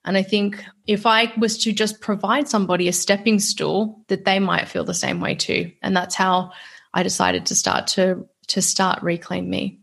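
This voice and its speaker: Woman, australian accent, young adult, gravelly fry, middle pitch, chatty and earnest. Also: expressive, normal speed, fairly bright tone. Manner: talkative, earnest, open. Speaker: podcast guest. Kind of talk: casual podcast interview.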